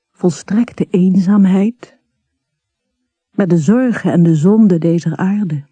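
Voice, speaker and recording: A woman reading a literary story as scripted narration, in a measured, deliberate way. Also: on the low side, warm tone, slightly rough, dry recording. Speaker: story reader.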